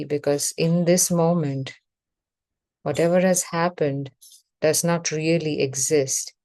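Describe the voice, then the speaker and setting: A woman leading a guided meditation as facilitator, speaking in measured short phrases with pauses between them. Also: low-pitched, darkish tone, no echo.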